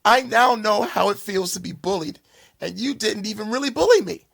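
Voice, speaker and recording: A humbled young storybook bull, acted by a man, pleads in a raised, swooping voice. He sounds frightened and earnest, with some roughness in the tone. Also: high-pitched, lively intonation, moderate pace, fairly bright tone, pitched above the performer's own voice, full character voice. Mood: remorseful.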